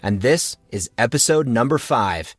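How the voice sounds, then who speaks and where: A man reads story prose as an audiobook narrator. His voice sits at a medium pitch, and the recording is dry, with little room sound. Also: lively intonation, measured pace, dry recording, soft background music.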